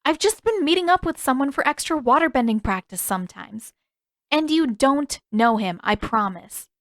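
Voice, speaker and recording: Woman doing a feisty teen girl in an audiobook, defensive n wry, kinda high voice, stubborn, emphatic. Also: lively intonation, moderate pace, fairly bright tone, dry recording, clean studio sound. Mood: firm, exasperated.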